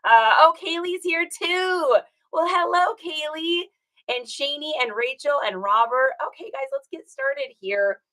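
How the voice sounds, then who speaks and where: A woman with a slightly hoarse, rough voice, hosting a casual livestream and chatting upbeat with viewers, greeting them by name. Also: high voice, sing-song, a little slow, bright, forceful tone. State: hoarse from singing. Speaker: reseller livestream host.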